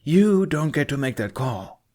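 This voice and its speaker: A man's voice: a little high, very animated, unhurried, darkish tone, voice pushed up. A man voicing a defiant, aggressive young Viking woman with a coarse voice in an audiobook.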